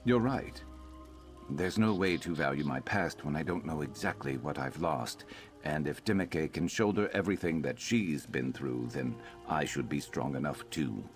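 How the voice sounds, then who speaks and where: A man voicing a weary, guarded reptilian warrior and former slave in a video game, speaking in a measured, plainspoken way. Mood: resolved, wry. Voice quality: very deep, gravelly. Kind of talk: video game character dialogue.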